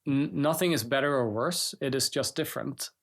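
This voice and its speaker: A man, a startup co-founder, talking in a thoughtful, measured way with frequent pauses and fillers during an interview. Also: mid-range voice. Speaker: startup co-founder and CTO guest. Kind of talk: reflective conversational interview.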